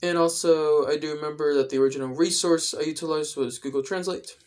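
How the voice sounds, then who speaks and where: A young adult man explaining things in a casual vlog style, with careful, halting phrasing, recorded indoors at home. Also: a little high, fairly even intonation, a little mellow. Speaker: video creator. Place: indoors, small room at home.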